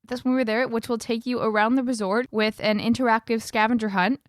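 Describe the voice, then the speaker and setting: Female podcast co-host, fairly high voice, presenting prepared notes, dry close recording. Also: steady tone, fast talker, dry recording.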